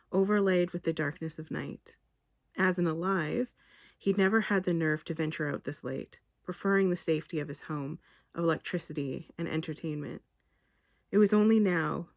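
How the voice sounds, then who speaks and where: A woman author reading her short story aloud in a measured, expressive literary narration. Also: on the low side, no room sound, clean studio sound.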